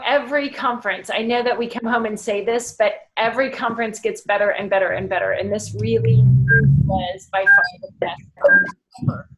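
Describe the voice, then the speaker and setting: A woman with a slightly high voice leads a casual group call. She sounds upbeat and enthusiastic, with frequent ums and restarts. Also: a bit bright, poor audio. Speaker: team call host.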